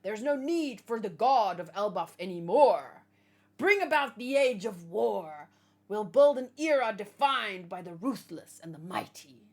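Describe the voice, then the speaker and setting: A woman voicing ruthless giant captains who boast about seizing power, in a louder, slower, villainous delivery while reading a manga aloud. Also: mid-range voice, lively intonation. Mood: gloating.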